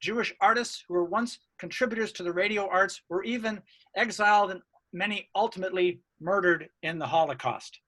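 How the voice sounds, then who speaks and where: A man's voice: high voice, fairly quick, sharp tone, poor audio. A man reading out a scripted academic paper as a researcher, in a formal and earnest manner. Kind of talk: scripted academic presentation, read aloud.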